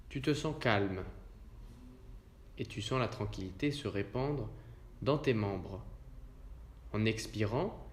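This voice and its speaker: A man leading a guided relaxation as a yoga instructor, speaking calmly and in measured, short, spaced phrases. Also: on the low side, a little hiss or hum. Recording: roomy echo around the voice.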